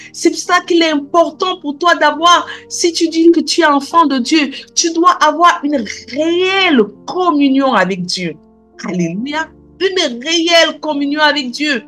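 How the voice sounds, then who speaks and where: A woman's voice: high voice, a bit bright. A woman preaching a sermon, asking repeated questions and punctuating her lines with alleluia and amen.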